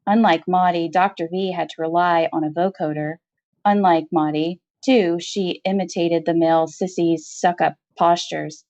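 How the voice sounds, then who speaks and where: A woman reading a short story aloud as expressive scripted narration. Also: on the low side, moderate pace. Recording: dull, roomy home recording.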